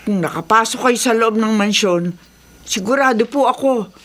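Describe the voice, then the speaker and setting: A woman's voice: a little high, moderate pace, lightly acted. An actress playing a worried, superstitious village mother who speaks respectfully and gives ominous warnings in a Filipino radio drama. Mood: grave warning.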